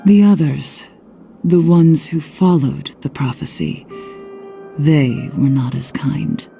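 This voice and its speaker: A guarded game witch haunted by lost love. Her low, dark voice moves slowly and softly with a melancholy weight, over faint music. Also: gravelly, full character voice. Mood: wistful. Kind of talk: dramatic video game voice acting.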